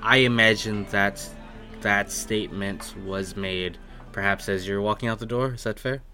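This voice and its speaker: A man narrating a tabletop game as game master, improvising descriptions in halting phrases. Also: on the low side, fairly even intonation, pushed and bright, faint music behind.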